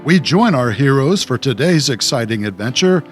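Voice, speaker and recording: A man's voice: medium pitch, lively intonation, moderate pace, close to an everyday voice. A man playing a grand, melodramatic announcer, narrating the introduction to an adventure audio drama. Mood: grand, excited. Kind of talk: dramatic serial-style scripted introduction. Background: music.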